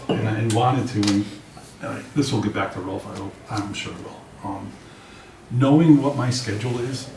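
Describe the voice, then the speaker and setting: A man with a low, slightly raspy voice briefs a committee in a conversational, plain-spoken way. He is picked up from a distance in an echoey room, with noticeable noise behind him. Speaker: public works director. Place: indoor meeting room. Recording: roomy, distant mics with clear background noise.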